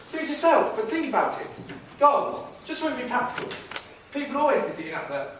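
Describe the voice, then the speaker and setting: Man acting as a streetwise talking cat, very high voice, cocky, theatrical, echoey hall. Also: sing-song, fairly quick, a bit bright, heavily acted. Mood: dry, cheeky. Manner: theatrical, calls out to the audience. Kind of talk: pantomime stage acting. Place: stage in a school hall. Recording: echoey school hall, distant mic, noisy.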